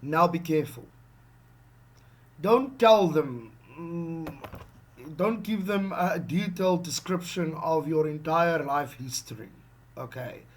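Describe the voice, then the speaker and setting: A middle-aged man from South Africa with an Afrikaans accent, giving a casual lesson on job interviews, animated and checking in often with "okay?". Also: on the high side, takes it slowly. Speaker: online English teacher.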